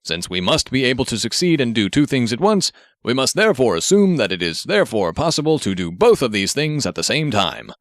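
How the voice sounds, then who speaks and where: A man's voice: medium pitch, big pitch swings, fairly quick, no echo, clean studio sound. A man voicing a pompous, earnest superhero who speaks in formal, declamatory sentences with stubborn optimism, in an audiobook. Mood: solemnly logical.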